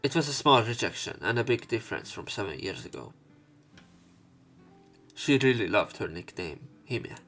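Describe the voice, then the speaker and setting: Lowish-voiced man reading a game aloud. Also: big pitch swings, fairly bright tone. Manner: halting, corrects himself while reading. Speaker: let's play narrator. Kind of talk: reading visual novel narration and dialogue aloud, with asides. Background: game music.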